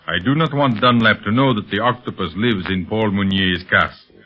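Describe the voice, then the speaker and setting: Low, smug criminal mastermind played by a man. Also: lightly acted. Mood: smug, commanding. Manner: smug, deliberate, formal. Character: suave, coldly commanding criminal mastermind. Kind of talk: 1930s radio serial villain acting. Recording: muffled vintage 1930s radio recording.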